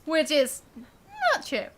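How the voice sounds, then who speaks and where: A woman's voice: high-pitched, very slow. A giggly, chatty woman giving casual live commentary while streaming a video game. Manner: giggly, chatty, reacts to chat.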